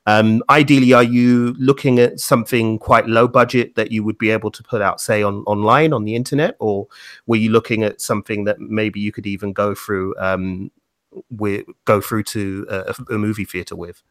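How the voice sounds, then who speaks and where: A man asks a guest questions in a relaxed interview, stumbling over repeated words as he sets each one up. His voice sits low, and the sound is dry and close, with no room echo. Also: normal speed.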